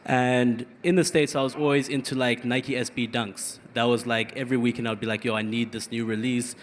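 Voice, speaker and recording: A man born in South Africa and raised partly abroad, telling a story on a live panel with energetic, quick bursts. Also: mid-range voice. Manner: energetic, fast bursts, many fillers. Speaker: panel guest. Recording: long hall reverb, faint room noise.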